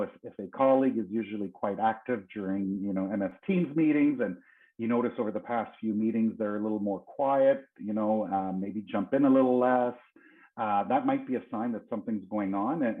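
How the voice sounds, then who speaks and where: A man's voice: lowish voice, fairly quick. A man panelist speaking hesitantly, with many fillers. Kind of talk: panel discussion. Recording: tinny phone-like line.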